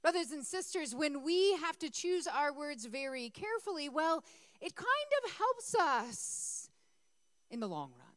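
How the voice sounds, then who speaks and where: A woman preaching with a hoarse, worn voice that sits high and swings widely in pitch. She talks to her congregation in a chatty way and asks them to respond. The sound is close and dry. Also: very animated, unhurried, no echo. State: losing her voice. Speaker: pastor. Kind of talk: sermon.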